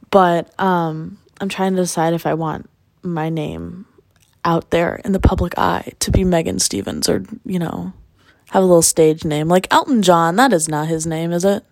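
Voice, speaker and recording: A woman hosting a casual solo podcast while sick, with a raspy voice, rambling and joking at her own expense. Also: fairly low pitch, no room sound, clean studio sound. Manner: rambling and self-deprecating with jokes. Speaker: podcast host; music producer.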